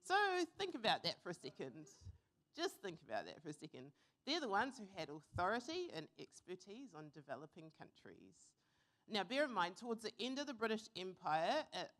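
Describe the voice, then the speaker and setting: A young woman from New Zealand giving an earnest, reflective conference talk, pausing with fillers now and then. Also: lowish voice, a bit bright. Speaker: conference speaker. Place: conference hall.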